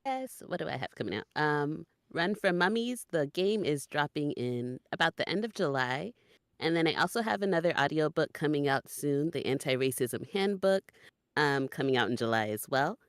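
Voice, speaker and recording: A woman's voice: deep voice, animated, normal speed, no room sound. Woman chatting casually, dry sound. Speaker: voice actor and audiobook narrator guest. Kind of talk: casual promotional chat.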